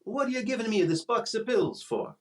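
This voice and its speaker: A man's voice: sits high, sing-song, fairly quick. An actor playing a cheerful, goofy male comic sidekick in an old-time radio comedy recreation.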